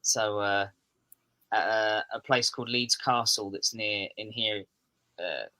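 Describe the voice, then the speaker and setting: English man from the southeast, guest on a chat show, rambling and self-mocking, bright-toned delivery. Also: fairly low pitch, fairly even intonation, slow pace. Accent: English, southeast England. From England. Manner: rambling, restarts sentences, self-deprecating humour. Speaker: podcast guest, metal musician. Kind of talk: casual podcast chat.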